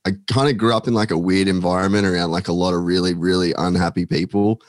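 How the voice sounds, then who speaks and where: Man with a very low voice, guest on a casual podcast, loose and rambling. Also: steady tone, fast, no room sound, clean studio sound. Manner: rambling, many "like" fillers, swears casually. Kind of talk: casual chat.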